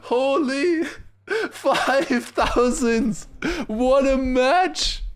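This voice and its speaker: A man livestreaming excited esports commentary mixed with casual chat, raising his voice to a shout at big moments. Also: very high-pitched, extremely slow, clean tone. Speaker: esports watchparty streamer and commentator.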